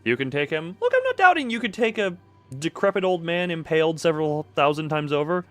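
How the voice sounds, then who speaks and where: A man's voice: fairly high pitch, big pitch swings, brisk, a bit bright. A man doing casual live commentary as a game streamer, exclamatory and rambling. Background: faint music.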